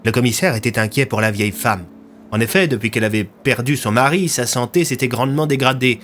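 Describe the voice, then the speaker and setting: A man's voice: mid-range voice, fast talker, a bit bright, a little hiss or hum. A man narrating a scripted audio drama as a storyteller.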